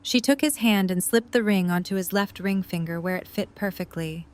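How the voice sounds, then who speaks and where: A woman's voice: mid-range voice, normal speed, natural voice. A woman reading scripted story narration for a fan audio drama.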